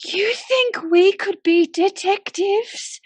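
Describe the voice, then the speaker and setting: A woman playing an eager, excitable young girl helper in a period radio mystery. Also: very high-pitched, slow pace, no echo. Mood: eager, excited. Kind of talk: period radio play acting.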